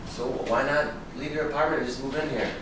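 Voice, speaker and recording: A man's voice: mid-range voice, steady tone, fairly quick, lightly acted. Distant echoey recording, man playing proud needy writer, intense, stage drama reading. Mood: pleading. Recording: echoey room from far off, noisy.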